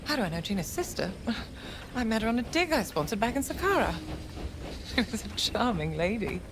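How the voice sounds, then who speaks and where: A suave, expressive adventuress. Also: female voice, a little high, a little fast, background noise. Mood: fond, wry. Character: poised, wealthy, charming woman sponsoring archaeological digs. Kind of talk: cinematic adventure game acting.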